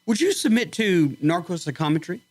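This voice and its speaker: A man's voice: high-pitched, big pitch swings, fairly quick. An actor playing a composed male military psychiatrist in a science fiction radio drama, questioning in a clinical, methodical way. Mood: clinical calm.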